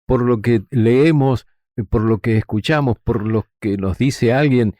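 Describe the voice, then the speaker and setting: A man's voice: medium pitch, unhurried, dark tone, no room sound. A man, a broadcaster talking conversationally in an interview. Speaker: radio broadcaster.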